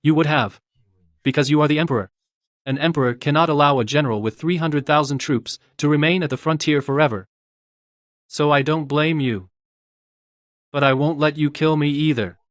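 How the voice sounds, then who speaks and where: A man playing a resolute, principled general in a dubbed motion comic, speaking in measured, terse commands. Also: on the high side, dry recording, natural voice. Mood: grave, resolute. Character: resolute male general, stern, principled, quietly defiant.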